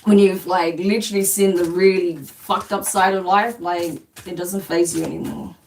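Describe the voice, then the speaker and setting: Lower-voiced British woman, distant mic. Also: steady tone, normal speed, a little mellow. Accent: London English with a slight New Zealand twang. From England. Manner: reassuring, repeats "okay?" and "right?" to viewers. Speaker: spiritual reader. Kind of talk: live-stream collective tarot reading.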